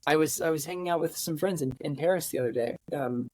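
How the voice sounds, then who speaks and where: A man's voice: fairly high pitch, a little mellow, dry recording. Reflective man in his twenties, dry close sound. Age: 20s. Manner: reflective, halting, many fillers and restarts. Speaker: podcast guest. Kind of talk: candid conversational interview.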